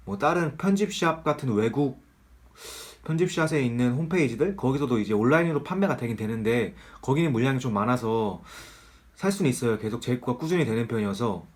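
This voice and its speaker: A man with a cold giving a casual, candid sneaker review in a nasal, slightly strained voice, joking about being broke. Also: mid-range voice. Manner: chatty, candid, self-deprecating about money.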